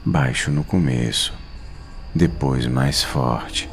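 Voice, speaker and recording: A Brazilian man with an extremely low voice tells a horror tale at an unhurried pace. His delivery is suspenseful and dramatic, with weighted pauses, and music plays behind him. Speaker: horror story narrator. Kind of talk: dramatic scripted horror narration. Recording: narration over clear background music.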